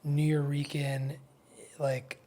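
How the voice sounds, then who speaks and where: A man interviewing casually in a conversation, informal and halting, rephrasing his questions as he goes. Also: a little high, monotone, warm tone. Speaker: podcast producer acting as interviewer.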